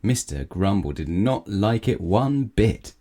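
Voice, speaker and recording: A man's voice: fairly low pitch, sing-song, slow pace, warm tone. A man reading a children's story aloud as a storyteller.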